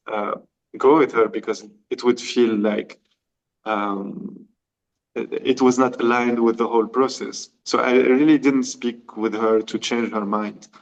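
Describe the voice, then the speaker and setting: A man's voice: on the low side, poor audio. A man from Tunisia, a podcast guest, telling a personal story and acting out the dialogue. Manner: animated, acts out quoted dialogue. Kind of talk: storytelling in conversation.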